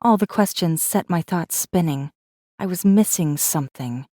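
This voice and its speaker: Low-pitched woman narrating fiction, dry recording. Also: normal speed, dark tone, no echo. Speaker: audiobook narrator. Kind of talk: scripted first-person fiction narration.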